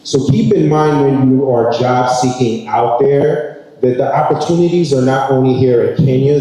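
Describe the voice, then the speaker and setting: Male guest speaker, New York accent, easygoing speech to graduates, soft dark tone, heard off-mic in a big reverberant hall. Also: mid-range voice. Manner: relaxed, conversational, addresses the audience directly. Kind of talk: public speech at a graduation ceremony. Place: large ceremony hall. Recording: echoey hall, distant mic.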